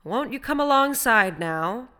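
A woman's voice: medium pitch, sing-song, fairly quick, fairly bright tone, pitched above the performer's own voice. A woman voicing a blunt, suspicious but kindly sailor man, brusque and colloquial, in a sea story. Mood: matter-of-fact.

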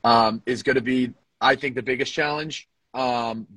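A man's voice: mid-range voice, steady tone, unhurried, a bit bright, no echo, low-quality recording. An American man co-hosting a podcast in casual, bantering, profanity-laced chat. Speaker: podcast host.

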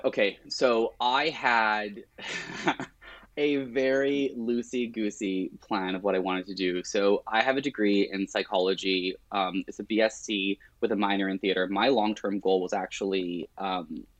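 A man's voice: lowish voice, steady tone, a little slow, no room sound. A middle-aged man, chatting casually in an interview in a wry, self-deprecating way. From born in Lebanon, grew up partly in rural Alberta, Canada. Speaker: realtor, interview guest.

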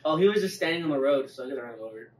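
A man's voice: medium pitch, lively intonation, very fast. A man hosting a casual podcast, agreeing with his friends and swearing freely. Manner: profane, agreeable interjections. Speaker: podcast host. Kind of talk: casual chat. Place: echoey room, away from the mic. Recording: distant mics, echoey room, faint noise.

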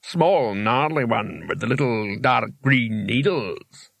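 A man voicing a calm, helpful talking rock in a storybook, unhurried, conversational and deadpan. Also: medium pitch, gravelly, no echo, exaggerated voice. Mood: placid, helpful.

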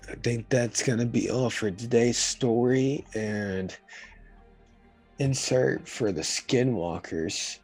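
A man hosting a casual podcast, hoarse from a sore throat and talking in an earnest, rambling way. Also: mid-range voice, a little mellow. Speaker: podcast host.

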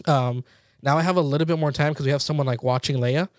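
A man's voice: fairly high pitch, steady tone, quick pace, no room sound, clean studio sound. A man in his late twenties chatting casually as a podcast co-host, informal, slangy and self-deprecating. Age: late 20s. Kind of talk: casual chat between friends.

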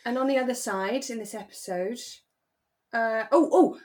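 A woman's voice: on the high side, normal speed, darkish tone. A woman co-hosting a podcast, chatting casually and reflectively about a radio drama. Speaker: podcast co-host.

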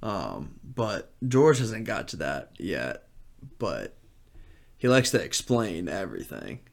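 A man's voice: low voice, very animated, takes it slowly, vocal fry. A man chatting casually as a podcast co-host, telling stories in a slangy way and acting out remarks. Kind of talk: casual chat.

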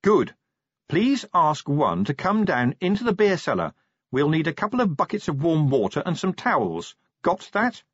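A man's voice: on the high side, big pitch swings, normal speed, breathy edge, no echo, lo-fi sound, voice pushed up. A man voicing a commanding female werewolf police sergeant in an audiobook, curt, bossy and exasperated. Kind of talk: audiobook character voice.

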